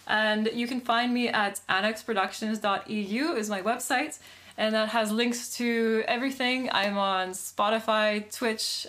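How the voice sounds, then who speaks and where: A young adult woman from Canada, a DJ and producer, talking in a relaxed way with lots of "like" and "um" as a guest on a podcast. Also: fairly high pitch. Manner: relaxed, many fillers like "like" and "um". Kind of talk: casual interview. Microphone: studio microphone.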